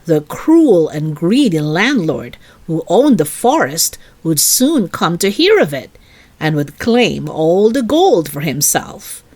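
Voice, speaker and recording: A woman reading a story aloud to children in an expressive, deliberate, child-directed way. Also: lowish voice.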